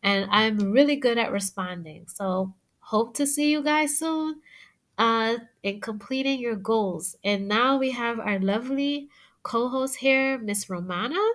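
A woman's voice: a little high, unhurried, fairly bright tone. A woman hosting a faith-based podcast, upbeat and affirming in casual chat. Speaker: podcast host.

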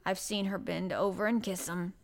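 A woman's voice: mid-range voice, fairly even intonation, moderate pace, vocal fry, close to an everyday voice. A woman voicing a grumpy old male gardener with a Yorkshire dialect and a grumbling manner, in an audiobook. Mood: gruff, plain. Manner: grumbling, grunting, dialect speech. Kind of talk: audiobook character voice.

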